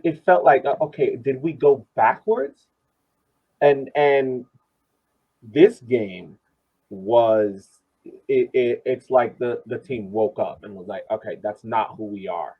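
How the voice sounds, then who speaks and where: A man co-hosting a soccer podcast, discussing the game casually in a halting way and repeating words. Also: mid-range voice, slightly rough. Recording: muffled, lo-fi podcast audio.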